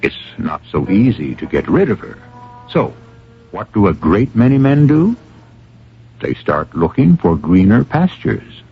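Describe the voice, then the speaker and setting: A man hosting a radio mystery drama with scripted narration, measured, wry and rhetorical. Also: lowish voice, very animated, vocal fry, slightly rough. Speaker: host and narrator of a mystery anthology. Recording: dull radio recording with music.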